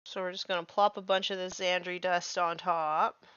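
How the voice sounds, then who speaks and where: A woman's voice: on the low side, flat delivery, normal speed, a bit bright, clear, resonant tone, dry recording, lo-fi sound. A young woman chatting casually and self-deprecatingly while painting miniatures on a live stream, full of "like", just getting over losing her voice. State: recovering from lost voice.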